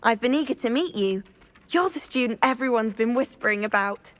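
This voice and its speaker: A woman's voice: a little high, animated, fairly quick, a bit bright. An actress playing an eager, polite and chatty schoolgirl witch in a video game. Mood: eager, friendly. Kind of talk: video game character acting.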